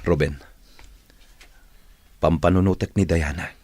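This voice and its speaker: A man's voice: deep voice, fairly even intonation, measured pace, a little mellow, dry recording. An actor playing a troubled, remorseful man, anxious and earnest, in an Ilocano-accented radio drama. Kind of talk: Filipino radio drama acting.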